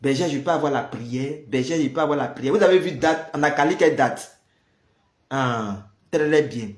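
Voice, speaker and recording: A man's voice: mid-range voice, normal speed, roomy sound. A man with the flu, leading a prayer livestream and chatting casually with viewers, greeting them by name. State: has the flu. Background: noise of building work.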